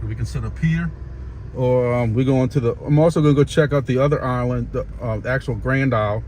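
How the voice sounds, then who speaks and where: A man's voice: medium pitch, brisk, poor audio. A man vlogging casually while driving, rambling along with restarts and fillers. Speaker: vlogger and ham radio operator. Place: inside a moving car. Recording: loud road and car noise behind.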